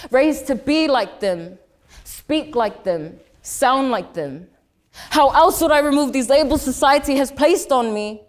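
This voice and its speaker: A young woman in her late teens, originally from Samoa, speaks. Her voice sits high. She delivers a rehearsed speech with deliberate pauses, slowing down hard on the lines she wants to land. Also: lively intonation. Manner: emphatic, slows right down on key phrases. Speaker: student speaker. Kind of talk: prepared speech competition oratory. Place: school speech competition.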